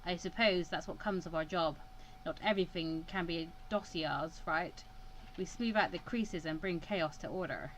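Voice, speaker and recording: A woman voicing a calm, brusque male mentor in a visual novel, measured and matter-of-fact. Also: on the low side, slight background noise, pitched below the performer's own voice, close to an everyday voice, rough recording. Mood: composed.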